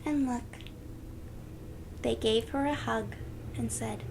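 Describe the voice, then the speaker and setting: A woman reading a storybook aloud to young children, expressive and gentle, speaking directly to them. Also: fairly high pitch, slow, gravelly, noisy background. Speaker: children's read-aloud host.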